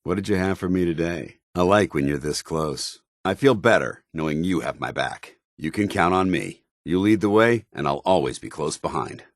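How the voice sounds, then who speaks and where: An actor playing a stern, loyal, idealistic soldier companion in a video game, with a clipped, earnest, authoritative military delivery. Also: male voice, low voice, animated, normal speed, hardly any pauses, no echo, clean studio sound. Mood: steady, earnest. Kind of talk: video game character dialogue.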